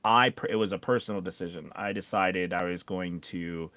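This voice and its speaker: A man coaching in a conversational live discussion, explaining in a halting way with repeated words. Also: low voice, steady tone, pushed and bright, no echo. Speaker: voiceover coach and co-host.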